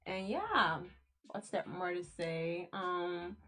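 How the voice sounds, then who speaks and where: A woman's voice: lowish voice, expressive, slow pace, sharp tone. Playful young woman guest, giggly. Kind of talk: casual interview chat.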